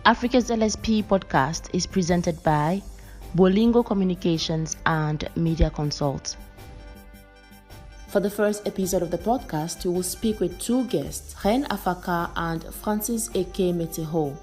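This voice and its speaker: A woman hosts a podcast in a fairly low, very mellow voice. She presents and interviews, with faint music in the recording. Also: unhurried. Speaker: podcast host. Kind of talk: scripted podcast introduction and interviewing.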